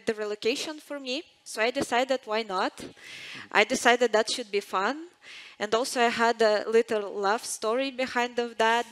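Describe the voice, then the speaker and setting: Woman, panelist, friendly, slightly halting, fairly high voice. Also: a bit bright, low-quality recording. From Ukraine. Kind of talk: personal introduction at a panel.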